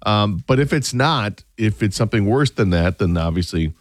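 A man's voice: on the low side, lively intonation, moderate pace, no echo, clean studio sound. A man co-hosting a sports talk show, chatting casually about football and sometimes acting out a coach. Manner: conversational, acts out coaches with a raised voice. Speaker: sports radio co-host.

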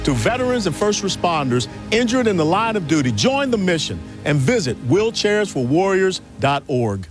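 A man's voice: sits high, big pitch swings, moderate pace, hardly any pauses. A man announcing a scripted promotional spot as a produced radio read. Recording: produced spot over clear music bed.

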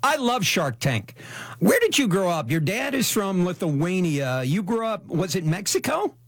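A man's voice: high voice, big pitch swings, a little slow, no echo, clean studio sound. A man hosting a talk show, introducing guests and putting interview questions to them.

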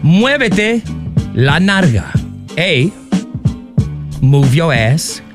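A man's voice: a little high, very animated, extremely slow. A man hosting a playful quiz as a show co-host, teasing and switching between English and Spanish. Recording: loud music under studio talk. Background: music.

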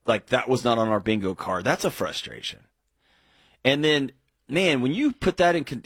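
A man's voice: mid-range voice, dry recording. A man in his forties co-hosting an advice call-in show, talking earnestly and reflectively with frequent restarts. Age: 40s. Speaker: co-host.

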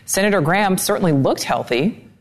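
A woman news anchor, brisk and polished, leading an interview and teasing upcoming stories. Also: lowish voice, animated. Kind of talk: news presenting.

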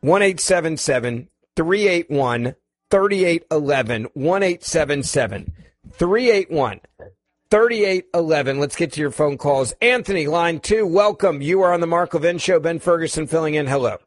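A man hosting a talk radio show and taking calls, talking emphatically and quickly, often pushing his voice higher to drive a point home. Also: expressive. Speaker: fill-in radio talk host. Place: radio studio. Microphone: studio microphone.

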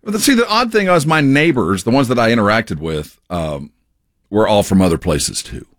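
Male radio co-host from Texas; low, swinging delivery, animated storyteller, dry close mic. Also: normal speed, dry recording. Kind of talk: casual radio chat.

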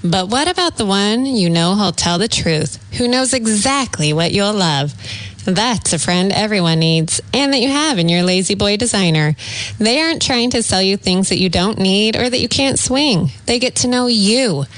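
A woman's voice: medium pitch, lively intonation, normal speed, no room sound, poor audio. A woman reading a scripted commercial in a friendly, persuasive way. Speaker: commercial voice-over. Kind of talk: scripted ad read.

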